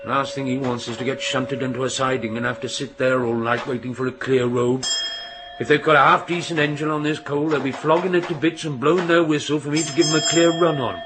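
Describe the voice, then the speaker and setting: A gruff middle-aged West Country railwayman played by a man. He is a bossy, teasing dad who chides in plain working talk. It is naturalistic radio drama with some background noise. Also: mid-range voice, fairly even intonation, a little fast, close to an everyday voice. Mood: matter-of-fact, grumbling. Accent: English West Country. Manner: gruff, chiding, colloquial working man's talk.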